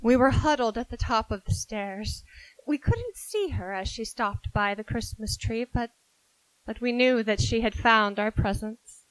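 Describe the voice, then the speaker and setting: A woman's voice: fairly high pitch, expressive, a little slow, poor audio. A woman playing a blunt, spirited teenage tomboy with emphatic theatrical delivery in a 1940s-style radio drama. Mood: wistful.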